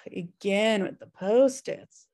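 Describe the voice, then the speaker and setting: A woman's voice: medium pitch, slow pace, a little mellow, natural voice. An American woman reading the part of a flippant, irresponsible twin sister in a sitcom script. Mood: wry. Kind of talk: sitcom script table read. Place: indoor room, on a video call. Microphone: video call microphone.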